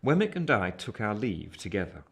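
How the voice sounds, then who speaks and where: Low-voiced earnest gentleman narrator. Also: very animated, gravelly. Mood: intent, grave. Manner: measured, literary. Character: earnest, reflective gentleman narrating his own story. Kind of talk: scripted first-person radio play narration.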